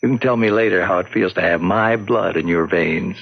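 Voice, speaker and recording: An actor playing a hearty middle-aged war veteran and loyal buddy in a 1970s radio drama, bluff and joking with a gravelly, husky voice. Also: male voice, low-pitched, fairly quick, soft background music. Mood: reassuring. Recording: dull lo-fi old radio broadcast.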